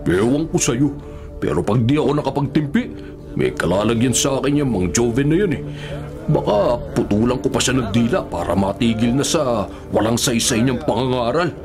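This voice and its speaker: An actor playing a surly, hot-tempered prison thug in a radio drama, menacing and scornful, with a Filipino accent. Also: male voice, mid-range voice, animated, moderate pace, music in the background, close to an everyday voice. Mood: irritated, scornful.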